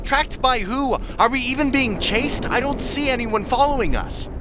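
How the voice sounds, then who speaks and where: A man's voice: very high-pitched, normal speed, noisy background. An actor playing an anxious, wry young American guy in an audio drama, incredulous and easily exasperated. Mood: panicked, incredulous.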